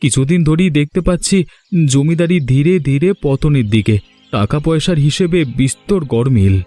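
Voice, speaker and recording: A man's voice: fairly high pitch, normal speed, dark tone, no echo, close to an everyday voice. A man voicing a landlord's grown son in a cartoon, earnest and grave, suspicious of the villagers. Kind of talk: animated cartoon dialogue. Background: background music.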